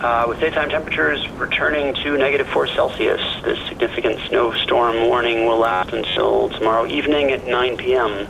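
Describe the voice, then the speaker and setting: An actor playing a cautious, dry-humored friend who worries, speaking hesitantly in a naturalistic audio drama over a radio call. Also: male voice, mid-range voice, steady tone, fairly quick, gravelly, few pauses, background noise, natural voice. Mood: flat reporting. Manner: hesitant, fretful, wry.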